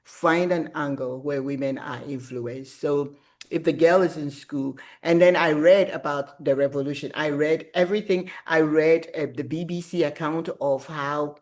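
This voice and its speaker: A middle-aged woman, speaking as an author in a casual interview, animated and reflective as she tells stories about her writing. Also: low voice, moderate pace.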